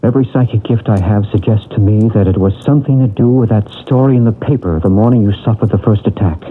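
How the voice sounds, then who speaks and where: Calm husky psychic, coaxing, tinny radio with faint music. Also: male voice, lowish voice, quick pace. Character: calm male psychic investigator guiding a regression. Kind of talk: 1970s radio drama acting.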